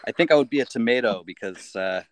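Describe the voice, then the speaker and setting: A man in a band, talking as a podcast guest in a mid-range voice, relaxed and easygoing, with plenty of casual fillers. The recording is dry and close. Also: mid-range voice, quick pace, no echo. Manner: relaxed, laid-back answers with fillers. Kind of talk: casual interview chat.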